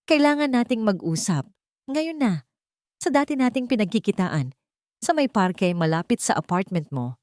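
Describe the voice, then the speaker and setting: A woman voicing a serious young male businessman who speaks in a grave, hurried way. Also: mid-range voice, big pitch swings, a little mellow, no echo, clean studio sound, pitched above the performer's own voice, lightly acted. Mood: urgent.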